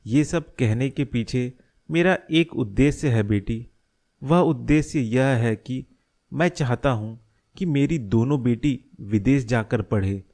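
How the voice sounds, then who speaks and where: A man acts as a worried middle-aged cartoon father. He speaks slowly at a medium male pitch, laying out each thought earnestly and deliberately. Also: a little mellow, lightly acted. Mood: earnest, persuading. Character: worried middle-aged father scheming to protect his family. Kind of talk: Hindi animated moral story dialogue.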